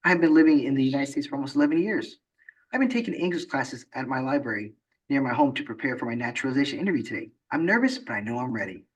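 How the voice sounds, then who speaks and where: An actor playing a polite, nervous male applicant in a citizenship interview, giving short, careful answers in a husky voice, heard from a video played at a distance. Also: mid-range voice, lively intonation, fast talker.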